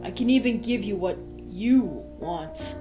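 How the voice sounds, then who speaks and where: A woman's voice: medium pitch, expressive, measured pace, natural voice. A woman voicing a meek captive stallion pony, hesitant and earnest, in a fan fiction audio story over guitar music. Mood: regretful, pleading.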